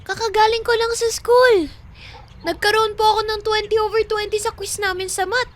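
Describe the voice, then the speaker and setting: A woman's voice: very high voice, fairly even intonation, moderate pace. A woman playing a sweet, wistful little Filipino girl in an audio drama. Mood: eager, proud.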